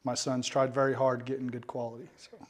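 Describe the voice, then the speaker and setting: A man's voice: medium pitch, little pitch movement, fairly quick. A man who owns a family bakery, answering interview questions politely and modestly. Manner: polite, says "yes, sir", modest. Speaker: bakery owner, guest.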